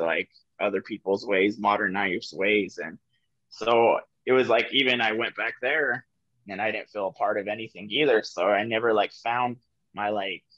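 Young man from New Mexico, twenties, lowish voice, reflective storyteller with lots of fillers, dull dry webcam sound. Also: no echo. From New Mexico, USA. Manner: soft-spoken, reflective, frequent "like" and "um" fillers. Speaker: guest sharing his recovery story. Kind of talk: candid personal storytelling on a podcast. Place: office room with ceiling lights. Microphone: video call webcam.

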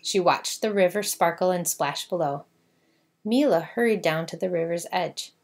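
Female storybook narrator, lower-pitched, expressive scripted read-aloud for children. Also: moderate pace.

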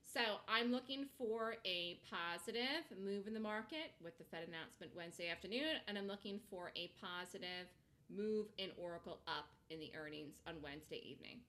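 A woman's voice: on the low side, expressive, normal speed, bright, forceful tone. A woman host giving informal, conversational trading commentary, thinking aloud, asking rhetorical questions and repeating words for emphasis. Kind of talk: informal market commentary over screen-shared charts.

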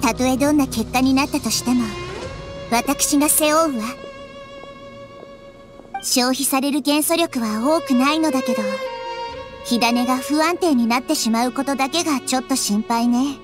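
A woman voicing a wise, caring little-girl deity in an anime game, soft, thoughtful and measured. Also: high voice, natural voice. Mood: gentle resolve. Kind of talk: Japanese anime game voice acting. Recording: music behind the voice.

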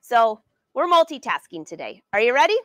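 Brief: woman in her 40s, sewing teacher on a livestream, friendly and chatty, slightly high voice, dry close sound. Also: very animated, a little fast, no echo. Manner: chatty and upbeat, addresses viewers by name. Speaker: sewing educator and brand ambassador. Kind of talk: live-streamed sewing lesson answering viewer questions. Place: sewing studio indoors.

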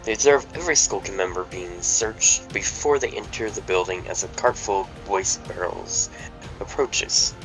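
A man's voice: fairly low pitch, moderate pace, music in the background, low-quality recording. A man narrating the stage directions of a casual fan table read over voice chat. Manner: plain read-aloud narration, joking between lines.

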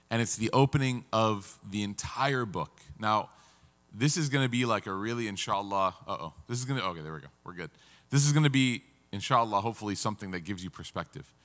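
A man's voice: lowish voice, fairly bright tone, in a large hall. A man in his thirties, seated at a table, teaching an interactive Quran class and asking the audience questions. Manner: engaging, asks the audience questions.

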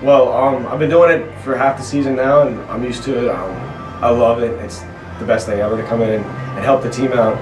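A man's voice: medium pitch, fairly even intonation, brisk. A young man, a college baseball catcher, answering eagerly at a post-game press conference. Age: young adult. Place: press conference room, indoors. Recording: echoey room, distant mic, music behind.

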